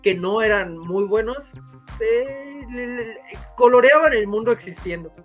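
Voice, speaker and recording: High-voiced Mexican man giving casual commentary. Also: expressive, a little slow, a bit bright, lo-fi sound. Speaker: co-host of a gaming news show. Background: music behind the voice.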